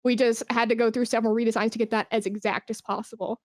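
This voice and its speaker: A woman's voice: a little high, flat delivery, quick pace, no room sound, clean studio sound. A woman chatting casually as an interview guest, enthusiastic and informal in the way she talks. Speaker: interview guest, esports brand manager.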